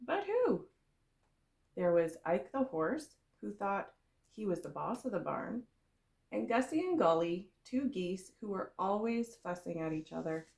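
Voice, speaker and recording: A woman reading a storybook aloud for young children, in the expressive, explanatory way of a kindergarten teacher. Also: mid-range voice, a little slow, creaky.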